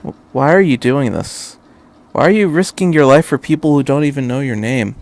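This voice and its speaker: A man's voice: mid-range voice, normal speed, darkish tone, natural voice. A man voicing a shy, hesitant teenage boy in a story reading, stammering as he speaks. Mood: nervous. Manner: stammering, timid.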